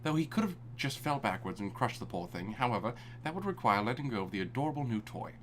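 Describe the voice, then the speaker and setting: Lively man reading fan fiction irreverently. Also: medium pitch, quick pace, slight background noise. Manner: irreverent, breaks into swearing and amused asides. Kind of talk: live comedic story reading.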